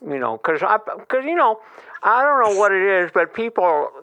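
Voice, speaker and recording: A man voicing a goofy, excitable man with a quirky accent who gushes, stammers and jumps into squealing high notes. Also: moderate pace, clear, resonant tone, narrow, tinny audio. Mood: gushing. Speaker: show's honorary member.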